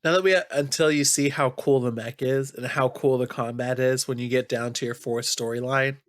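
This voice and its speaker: Male podcast host, nasal, mid-pitched, casual gamer chat, dry recording. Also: fairly even intonation, normal speed, no room sound.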